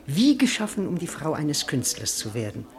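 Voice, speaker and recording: A man's voice: on the high side, wildly swooping pitch, moderate pace. A gushing, lovestruck young man. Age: young adult. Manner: effusive and gushing, lapses into hushed tones. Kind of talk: radio play acting.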